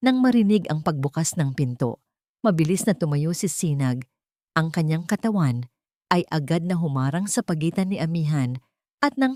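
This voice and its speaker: A low-voiced woman reads a scripted dramatic story. The sound is dry and close, with very little room around her. She delivers it as a storyteller narrating an audio drama. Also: darkish tone, no echo.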